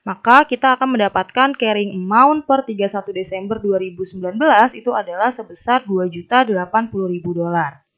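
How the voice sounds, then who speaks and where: A woman's voice: medium pitch, fairly quick, fairly bright tone. Young woman tutor, dull lo-fi recording. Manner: methodical, step-by-step explaining. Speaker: accounting tutor. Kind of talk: instructional narration of a worked problem.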